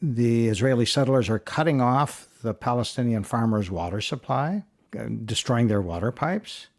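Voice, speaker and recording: A man co-hosting an interview, narrating background and asking questions in a steady, explanatory way. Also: mid-range voice, normal speed. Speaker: co-host reporter.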